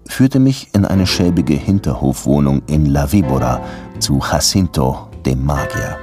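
An actor playing a world-weary, hard-drinking Havana police detective who narrates and talks laconically in a German radio drama. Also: male voice, very deep voice.

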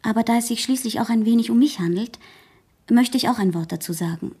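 A woman's voice: on the high side, a little fast, mellow, husky. An actress playing an outspoken, proud teenage girl in a German radio play, speaking earnestly and frankly. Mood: earnest, firm.

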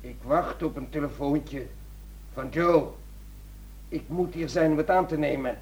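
An actor playing a distraught, confused middle-aged husband in a radio drama, pleading and fixated on the past. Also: male voice, on the high side, a little slow, a little mellow, natural voice. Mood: fixated, withdrawn.

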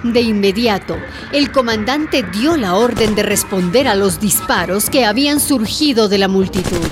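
A woman narrating a radio drama in a measured, dramatic storytelling manner. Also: mid-range voice, lively intonation. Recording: narration over music and crowd noise.

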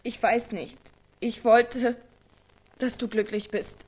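A high-pitched teenage girl, troubled and needy. She sounds naive yet intense, in the manner of a radio play. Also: steady tone, takes it slowly, a little mellow. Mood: pleading. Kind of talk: German radio drama acting.

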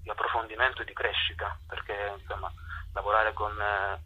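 On a dull phone line, a man talks in a casual, thoughtful interview manner. His voice is very raspy and hard-edged, with halting phrases. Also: fairly low pitch, flat delivery, pushed and bright. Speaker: stage performer, interview guest. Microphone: telephone.

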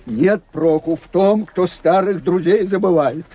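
An actor playing a proud, hurt old army staff captain in a radio play, gruff, brusque and bitter. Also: male voice, high voice, a little slow, warm tone. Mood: bitter indignation. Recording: noisy old radio drama recording.

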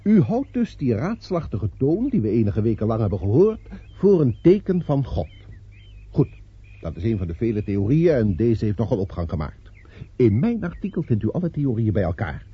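An actor playing a brisk, politely sceptical newspaper reporter in a radio play, businesslike and wry. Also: male voice, mid-range voice, sing-song, slightly rough, no echo. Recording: dry, dull old radio play recording.

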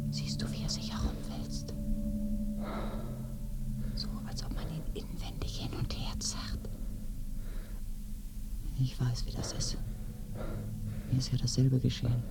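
Low, breathy, haunted village woman. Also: steady tone, measured pace, pauses often, over loud music, full character voice. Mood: hushed, uneasy, then remorseful, bitter. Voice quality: soft, breathy, often whispering. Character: lonely, haunted, remorseful village woman living in seclusion. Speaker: actor in radio drama. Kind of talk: intimate radio play acting. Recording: roomy binaural radio drama recording.

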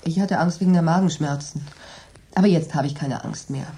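A woman's voice: deep voice, fairly quick, dark tone. An actress in a German radio drama playing a stubborn sceptic, a woman who reasons in a composed, dry way. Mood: composed, sceptical. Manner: composed, reasoning, slightly sardonic.

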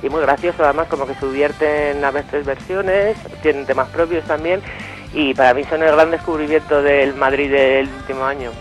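A woman's voice: low-pitched, fairly even intonation, moderate pace, poor audio. A woman with an accent from Spain, chatting as an interview guest in an informal, colloquial and enthusiastic way. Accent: Spanish, from Spain. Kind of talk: casual interview chat. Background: faint music.

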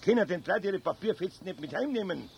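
An actor playing a good-natured, grumbling older master craftsman with a Bavarian accent in a children's radio play, husky and homely. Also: high voice, lively intonation, quick pace, a little mellow, dull audio, a little hiss or hum. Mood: indignant, grumbling.

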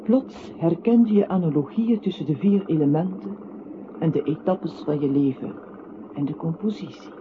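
A man acting an observant, matter-of-fact narrating voice in a literary radio play, deliberate and articulate. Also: on the high side, background noise, lightly acted. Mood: detached. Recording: tinny band-limited radio drama with music.

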